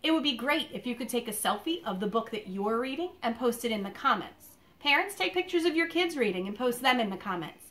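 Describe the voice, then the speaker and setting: A woman with a high voice and very lively intonation, speaking as a schoolteacher to young children. She has an expressive, deliberate storytime delivery, as in a read-aloud made at home. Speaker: elementary school teacher reading a picture book aloud. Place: living room at home.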